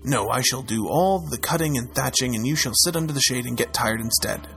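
A man's voice: medium pitch, fairly quick, fairly bright tone, soft background music, lightly acted. A man voicing Anene, a stubborn male crow who insists on doing all the work.

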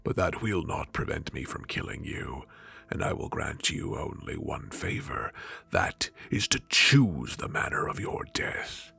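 A man voicing a huge, haughty, wrathful djinn from a storybook, with slow, menacing, grandly dramatic delivery. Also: extremely low pitch, animated, vocal fry, pushed and bright, breathy edge, voice pushed down, exaggerated voice. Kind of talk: storybook villain.

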